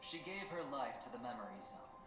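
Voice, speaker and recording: An actor playing a self-assured male gambler-schemer in a video game, speaking in a theatrical, teasing, deliberate storytelling manner. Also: medium pitch, very animated, natural voice. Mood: earnest explaining. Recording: echoey game audio under music.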